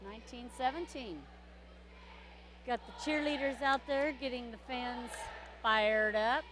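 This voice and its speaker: A woman doing casual play-by-play commentary at a high school basketball game, chatty and quick to get excited over plays. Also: poor audio.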